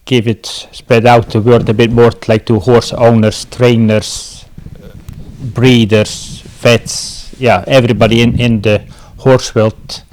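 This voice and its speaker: A man's voice: mid-range voice, level tone, slow pace, darkish tone, poor audio. A middle-aged man from Belgium with a Belgian accent, giving casual answers as an interview guest.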